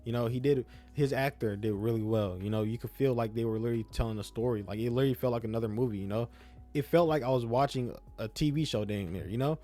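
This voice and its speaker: A man's voice: medium pitch, fast talker, dark tone. A young man with a slight speech impediment, giving casual, opinionated game commentary in a rambling, slangy way, repeating phrases for emphasis. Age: young adult. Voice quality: self-described speech impediment. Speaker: YouTube gaming content creator.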